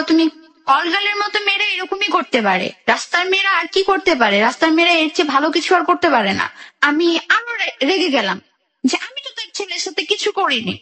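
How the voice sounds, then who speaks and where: A woman's voice: very high-pitched, pushed and bright, rough recording. A young woman with a Bangladeshi accent, telling her own life story as a guest in a radio interview.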